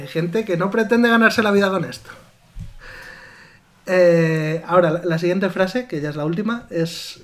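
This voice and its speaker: Podcast co-host, a man, high voice, chatty and quick to laugh. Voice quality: higher voice than his co-host. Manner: laughs often, reads listener comments aloud. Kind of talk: casual chat.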